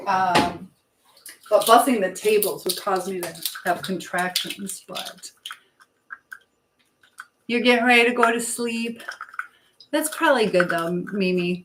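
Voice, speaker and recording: A woman's voice: medium pitch, steady tone, a little slow, noisy background. Chatty American woman, casual livestream host. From Michigan, United States. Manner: chatty, jumps between topics mid-thought. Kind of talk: casual live chat, reading and answering viewer comments.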